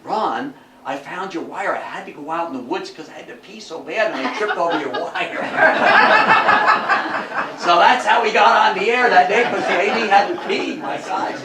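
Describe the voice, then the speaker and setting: An older man with a slightly high voice talks informally to a group in an echoey room. He sounds folksy and halting, repeating words as he reminisces. The microphone is some distance away. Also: big pitch swings, over a noisy crowd. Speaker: guest speaker at a local history talk. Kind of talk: informal talk with audience discussion, reminiscing. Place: meeting room with an audience. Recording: echoey room, distant mic, background hiss.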